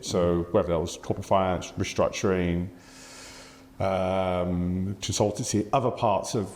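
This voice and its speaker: A low, mellow-toned English man in his forties answers questions in a thoughtful, halting way. He fills gaps with ums and restarts as he works through his points. The recording sits in an echoey room. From England. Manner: thoughtful, with frequent fillers and restarts. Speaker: podcast guest. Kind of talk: conversational interview answers.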